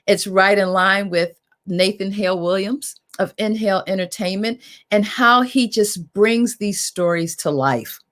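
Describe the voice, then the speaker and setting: A woman moderator giving an informal, emphatic welcome address with deliberate phrasing. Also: medium pitch, a bit bright. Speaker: moderator, executive director.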